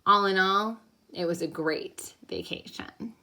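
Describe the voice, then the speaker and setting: A woman teacher reading a children's story aloud in an expressive, animated way, as if to young listeners. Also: mid-range voice. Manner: animated, pausing to ask listeners questions. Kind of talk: expressive storybook read-aloud with think-aloud questions.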